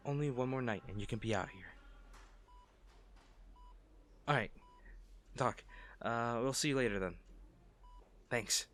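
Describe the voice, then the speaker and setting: A man playing a kind, bashful stranger in an intimate audio roleplay, speaking gently and playfully with some flustered hesitation. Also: mid-range voice. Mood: mischievous, cheerful. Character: kind, bashful male stranger comforting an injured crash survivor.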